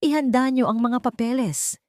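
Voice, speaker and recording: A woman's voice: on the high side, normal speed, darkish tone, no echo, studio quality, pitched above the performer's own voice, lightly acted. Woman voicing a proud, angry playboy heir. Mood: cold, commanding. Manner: firm, tense, holding back anger.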